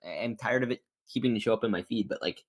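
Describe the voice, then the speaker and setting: A man's voice: on the low side, fast talker, breathy edge. A man chatting casually as a podcast host and YouTuber, in a rambling, stop-start way with frequent stammers. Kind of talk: casual chat interview.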